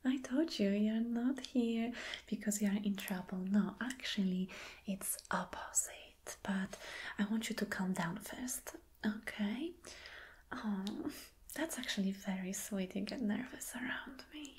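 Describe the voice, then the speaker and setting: Slow, whispering flirty boss lady, acted by a woman. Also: medium pitch, lightly acted. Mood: flirty, coaxing. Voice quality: soft, breathy whisper. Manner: gentle, coaxing, slow and deliberate. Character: flirty, soothing female boss reassuring an employee. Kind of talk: soft-spoken whispered ASMR roleplay. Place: small dim indoor room.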